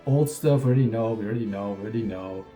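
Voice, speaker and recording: A man's voice: lowish voice, fast talker, dark tone. Sick-sounding man reacting casually. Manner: rambling, offhand, sometimes wry. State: sick, sniffling. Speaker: gaming reaction streamer. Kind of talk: casual live reaction chat. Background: faint trailer music.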